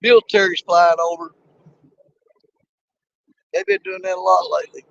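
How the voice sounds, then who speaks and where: Fishing host, man, hoarse and gravelly, folksy rambling talk to camera outdoors, muffled dry mic. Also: sits high, very animated, normal speed, a bit bright, no room sound. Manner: rambling, folksy, talks straight to viewers. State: hoarse, losing his voice. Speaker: fishing live-stream host. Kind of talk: casual live-stream chat. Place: outdoors at a small lake.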